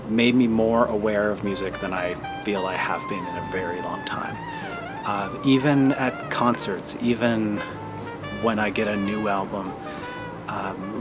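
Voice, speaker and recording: A middle-aged man giving a thoughtful, halting personal monologue as a vlogger, in a measured way. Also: fairly low pitch. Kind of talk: personal reflective monologue to camera.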